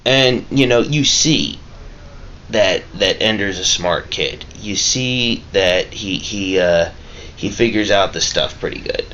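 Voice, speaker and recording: Very deep-voiced man, halting vlog talk. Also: expressive, pushed and bright. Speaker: vlogger reviewing a film. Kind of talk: casual, rambling vlog review. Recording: dull lo-fi mic with clear background noise.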